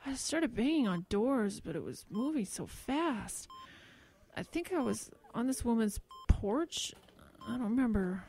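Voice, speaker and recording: An actress playing a dazed, injured woman in an audio drama, exhausted and drifting, her words halting and faint. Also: on the high side, sing-song, darkish tone. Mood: groggy, confused. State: groggy, exhausted. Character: dazed, injured woman, drowsy and guilt-ridden.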